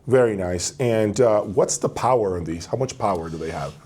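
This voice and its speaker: A man's voice: lowish voice, lively intonation, moderate pace, darkish tone, slightly rough. A man hosting a casual product presentation, talking directly to viewers. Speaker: host of an audio review channel.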